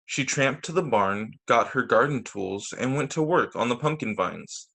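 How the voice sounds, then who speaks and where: A man's voice: medium pitch, lively intonation, creaky, sharp tone, slightly rough. A man reading a children's storybook aloud as a teacher, stressing key words expressively over a video call. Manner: deliberate emphasis on key words, with short asides to listeners.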